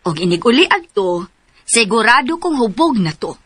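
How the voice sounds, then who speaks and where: A woman's voice: on the high side, sing-song, moderate pace, fairly bright tone, breathy edge, full character voice. A woman playing a worried, protective mother in a radio drama, fretful and scolding, with a Cebuano Filipino accent. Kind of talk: Philippine radio drama acting. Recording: music behind the voice.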